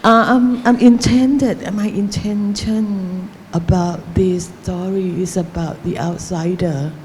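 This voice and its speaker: Thai lady author answering on a panel, slow and unhurried, soft warm tone, lots of ums and restarts. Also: medium pitch, fairly even intonation, a little hiss or hum. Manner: halting, searching for words, many fillers. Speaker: novelist on a panel.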